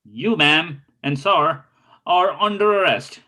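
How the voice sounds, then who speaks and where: A man's voice: on the high side, slow, pushed and bright. A man playing a self-assured, quirky and playful detective in an amateur script table read. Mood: commanding.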